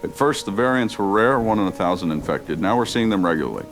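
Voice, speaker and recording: An actor playing a grave, defeated male health official, measured and grim in a radio drama interview. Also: lowish voice, lively intonation, over music. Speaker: official spokesman. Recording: faint background noise.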